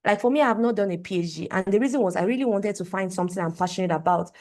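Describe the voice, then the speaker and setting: A woman's voice: medium pitch, very fast, a little mellow. Young woman speaker on a call, emphatic, repetitive, checks in with the audience. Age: young adult. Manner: emphatic, repeats phrases, asks the audience "right?". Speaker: conference speaker. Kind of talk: motivational talk on a livestream. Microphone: video call. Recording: online call audio.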